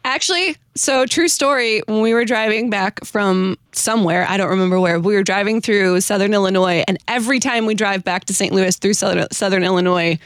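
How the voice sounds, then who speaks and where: An American woman with a mid-range voice hosts a casual conversation. She is informal and animated, with a giggly streak, moving between chat and reading aloud. The sound is dry and close. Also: medium pitch, fairly quick, hardly any pauses, dry recording. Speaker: host presenting a hymn-writer's story. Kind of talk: casual chat with read-aloud hymn verses.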